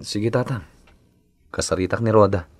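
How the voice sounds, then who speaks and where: Actor as a low-voiced, long-suffering husband. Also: male voice, moderate pace, no echo, lightly acted. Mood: placating. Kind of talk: Ilocano radio drama acting.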